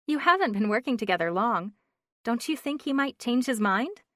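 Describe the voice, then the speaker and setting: A woman voicing an excitable young woman friend chatting on the phone, gushing and teasing, in a dry, close reading. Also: a little high, moderate pace, no echo, voice pushed up. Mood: excited.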